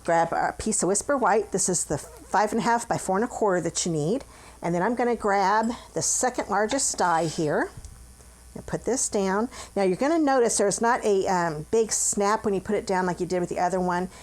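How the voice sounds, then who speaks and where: A woman's voice: medium pitch, normal speed. A middle-aged woman from the United States, hosting a chatty, upbeat craft tutorial from her home office and talking while she works. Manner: chatty, upbeat, talks while working with hands. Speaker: craft demonstrator and channel host. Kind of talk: friendly step-by-step craft tutorial.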